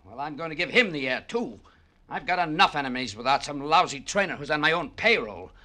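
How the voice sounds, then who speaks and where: Sharp, brusque American fight boss. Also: male voice, on the high side, lively intonation, fairly quick. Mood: irritated. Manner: brusque, slangy, bossy. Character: short-tempered, domineering male boxing camp boss. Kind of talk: 1940s American radio drama acting. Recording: hissy vintage radio recording.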